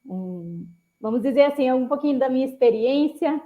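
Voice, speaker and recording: A woman's voice: high voice, animated, moderate pace, warm tone. A woman who worked at a bank for years, a guest on a live stream, speaking hesitantly with many fillers through a headset. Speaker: guest, former bank employee. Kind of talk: casual live stream talk. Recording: tinny call audio.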